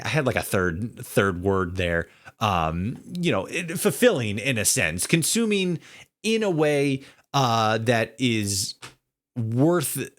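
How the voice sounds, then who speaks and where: A man hosting a casual interview, talking in a rambling, halting way and circling back over his phrases. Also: mid-range voice, a bit bright. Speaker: podcast host interviewing a guest. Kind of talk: casual long-winded interview chat.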